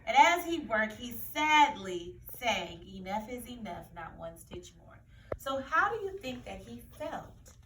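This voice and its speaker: A woman's voice: fairly high pitch, big pitch swings, measured pace, a bit bright. Woman teacher in a roomy, echoey recording. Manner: explanatory, repeats key phrases for children. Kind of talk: read-aloud lesson discussion for children. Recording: roomy echo, distant mic, faint noise.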